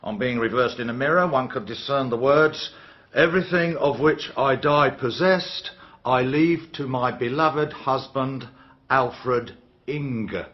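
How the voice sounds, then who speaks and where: Actor as stolid, deadpan police inspector. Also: male voice, medium pitch, unhurried, natural voice. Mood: official. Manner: deadpan, clipped official testimony. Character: stolid, imperturbable male police inspector in court. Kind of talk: radio courtroom drama acting. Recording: dull radio drama recording.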